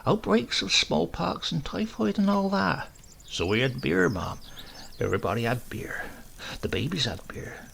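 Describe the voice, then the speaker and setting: Storybook rogue performed by a male reader: English countryman in his eighties, rustic accent, heavy gravel, swooping mock-pious deference. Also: a little high, animated, a bit bright, a little hiss or hum, heavily acted. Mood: mock remorse. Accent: rural English. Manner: rustic dialect, deferential, repeats himself slowly for effect.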